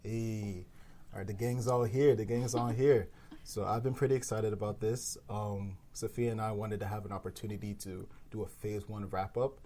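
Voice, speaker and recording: A man with a very low, gravelly voice co-hosts a casual round-table podcast. His tone is dark and mellow, and he talks loosely with lots of fillers, over a faint hiss of background noise. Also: moderate pace. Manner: relaxed, filler-heavy, laughs often. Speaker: podcast co-host.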